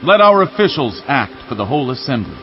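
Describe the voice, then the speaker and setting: A man's voice: mid-range voice, very animated, brisk, highs cut off, soft background music, lightly acted. An actor playing an earnest spokesman for a penitent crowd, pleading emphatically in a dramatized scripture recording. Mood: earnest, contrite. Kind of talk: dramatized scripture audio acting.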